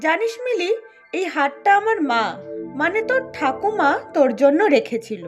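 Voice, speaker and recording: A woman's voice: extremely high pitch, sing-song, normal speed, faint music behind. A woman playing a contrite aunt making amends in a cartoon, full of emotion. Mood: remorseful, tender. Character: remorseful, once-quarrelsome aunt making amends. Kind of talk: cartoon voice acting.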